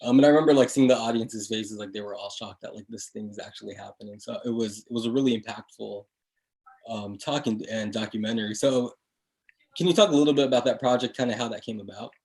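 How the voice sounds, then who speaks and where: A man's voice: lowish voice, quick pace, a little mellow, poor audio. A young man hosting a casual interview, introducing the guest and asking loose, rambling questions with lots of fillers. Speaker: interview host.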